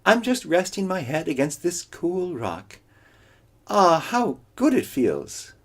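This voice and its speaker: Storybook coyote, squealing falsetto, very loud, boastful, wheedling. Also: male voice, huge pitch swings, slow, slightly rough, full character voice. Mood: airy. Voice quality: high, squealing, exaggerated falsetto. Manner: wheedling, prattling, shrill outbursts. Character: boastful vain male coyote trickster, theatrical.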